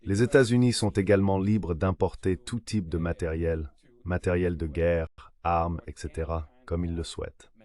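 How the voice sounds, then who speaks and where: A man's synthetic voice reading translated political discussion as a measured voice-over. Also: sits low, steady tone, a little mellow. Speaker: voice-over translator of interview guests. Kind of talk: dubbed political discussion, measured read delivery.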